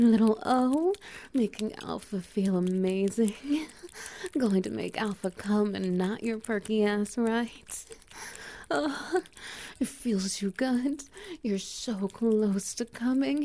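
A woman voicing a slow, gravelly-whispering dominant male lover. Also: on the high side, lively intonation. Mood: teasing, praising. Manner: breathy whispering, soft laughs, praising. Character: dominant, doting adult male alpha lover. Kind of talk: intimate audio roleplay.

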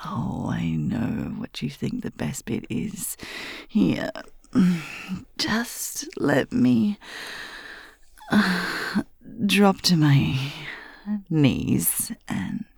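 A young adult woman in a close-miked, whispered adult audio roleplay, teasing, with soft laughs. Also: on the low side, very animated, drawn-out words, creaky, long pauses, slightly rough, dry recording. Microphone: close mic.

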